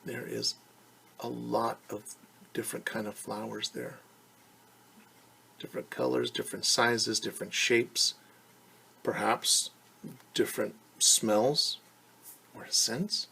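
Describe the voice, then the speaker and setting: A man's voice: mid-range voice, steady tone, takes it slowly, creaky, pauses often, sharp tone, breathy edge, rough recording. A man teaching young children, reading a picture book aloud and patiently explaining it in simple terms with questions.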